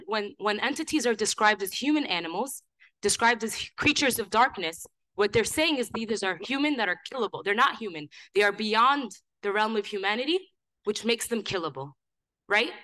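Loud, impassioned young woman addressing a class. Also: on the high side, poor audio. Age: young adult. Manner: impassioned, insistent, with frequent restarts. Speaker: student activist guest speaker. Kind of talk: impromptu talk to a class. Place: lecture hall.

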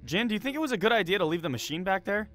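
A man playing a brash, excitable young roommate in an improvised gaming roleplay. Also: sits high, racing, loud music behind the voice. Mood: insistent. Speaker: series star.

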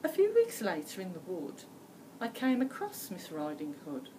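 A woman's voice: fairly low pitch, wildly swooping pitch, moderate pace, darkish tone. A woman in her fifties reading a children's story dramatically, like a primary school teacher. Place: school library indoors. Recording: distant mic, faint room noise.

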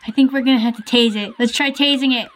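A woman doing casual game roleplay, chatty and quick with plenty of fillers. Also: a little high, steady tone, a little hiss or hum. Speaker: roleplay player voicing several characters.

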